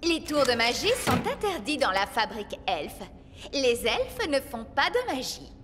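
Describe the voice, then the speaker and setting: High, reverberant officious elf worker. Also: female voice, big pitch swings, measured pace, fairly bright tone, faint music behind. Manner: businesslike, instructing. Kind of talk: French cartoon dubbing.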